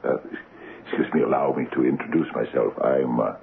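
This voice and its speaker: An actor playing a chatty Scottish village doctor in a radio drama, with a hesitant, folksy storytelling manner. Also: male voice, extremely low pitch, steady tone, a little fast, creaky. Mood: genial, folksy. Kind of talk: old radio drama acting. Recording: muffled, hissy old radio broadcast.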